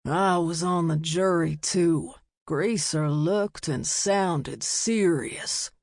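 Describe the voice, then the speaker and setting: A woman voicing a stubborn, worried small-town man in an audiobook, speaking gravely and deliberately. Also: on the low side, a little mellow, no echo, studio quality.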